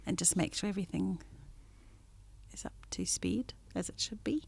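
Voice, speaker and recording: A woman's voice: lowish voice, expressive, moderate pace, creaky, darkish tone, husky, whispered. A woman in her sixties, a business administrator, introducing herself in a casual family interview with teasing, playful humour.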